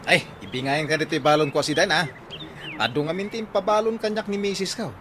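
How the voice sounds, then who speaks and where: A man's voice: on the high side, a little fast, sharp tone, a little hiss or hum. A man playing a teasing, boastful coworker friend in an Ilocano comedy radio drama, full of exclamations. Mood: boastful banter.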